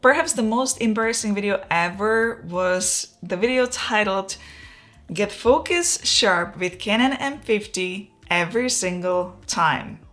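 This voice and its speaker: A woman's voice: mid-range voice, measured pace, faint music behind. A woman in her forties from the Czech Republic with a Czech accent, chatting casually and laughing at herself as a photography vlogger, recorded on a lapel mic in a home studio. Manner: relaxed, chatty, self-deprecating, gestures with her hands. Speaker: photography YouTuber. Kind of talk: casual talking-head vlog. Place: home studio room, indoors. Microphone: wireless lapel mic.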